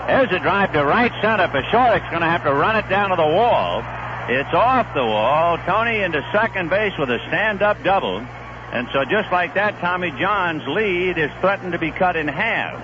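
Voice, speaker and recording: A man calling a baseball game live on the radio, swinging from calm analysis between pitches to rising excitement on big plays, in a 1970s broadcast. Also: highs cut off, background noise. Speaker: play-by-play announcer. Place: stadium broadcast booth.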